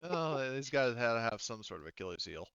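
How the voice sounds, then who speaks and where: A man's voice: medium pitch, big pitch swings, fairly quick, a bit bright, clear, resonant tone, no echo, dull audio. A man speaking informally as a game master, narrating play and calling rules, with some swearing. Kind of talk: casual tabletop game narration and rules calls.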